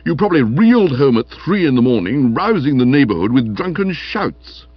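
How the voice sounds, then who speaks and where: A man's voice: on the high side, wildly swooping pitch, moderate pace, dry recording, lo-fi sound, pitched above the performer's own voice. Man putting on a hectoring, pompous constable. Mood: bitter, morose. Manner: hectoring, morose, clipped pronouncements. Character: stern bullying young constable, pompous, peevish and accusing. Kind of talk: comic audiobook character voice.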